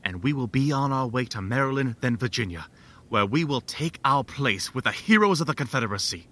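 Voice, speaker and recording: An actor playing a devout, challenging conspirator with a forceful, declamatory manner in a historical drama. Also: male voice, mid-range voice, lively intonation, a little fast. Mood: determined. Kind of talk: historical audio drama acting.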